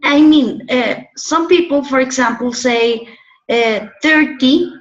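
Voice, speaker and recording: A woman student with a high voice speaks very slowly over a video call. She hesitates and restarts as she searches for her words. The audio is muffled. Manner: hesitant, halting. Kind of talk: asking a question in class.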